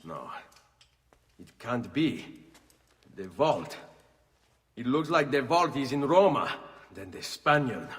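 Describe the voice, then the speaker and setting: An actor playing a grave, determined Italian assassin in a video game, with an Italian accent and measured delivery. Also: male voice, medium pitch, very animated, a bit bright, in a large hall. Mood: realizing, urgent. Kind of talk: dramatic video game cutscene acting.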